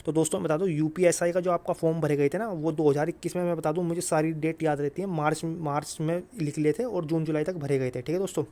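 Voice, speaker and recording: A man's voice: fairly high pitch, fairly even intonation, fast, few pauses, dark tone. A man with a North Indian accent explaining updates informally to viewers, repetitive and insistent, mixing in English words. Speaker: exam-update YouTuber.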